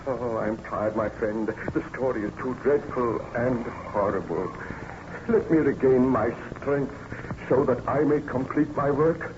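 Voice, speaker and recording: A man's voice: mid-range voice, a little slow, lightly acted. An actor playing a tormented, exhausted baron obsessed with destroying his monster, in melodramatic, solemn radio drama style. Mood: weary resolve. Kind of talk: old-time radio drama acting. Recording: muffled, noisy old radio recording.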